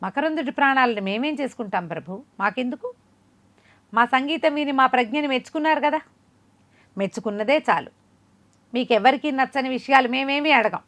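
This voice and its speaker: A woman's voice: high voice, brisk, fairly bright tone. A woman storyteller voicing an earnest, courteous young woman musician who speaks politely and respectfully in a folk tale. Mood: humble, courteous.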